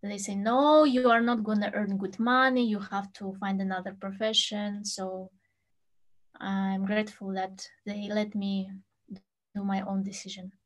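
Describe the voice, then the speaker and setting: A woman's voice: medium pitch, fairly even intonation, a little slow. Tatar-speaking woman pianist in an interview. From Russia. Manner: non-native English, thoughtful, laughs easily. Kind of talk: interview answers. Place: home room with a piano, indoors.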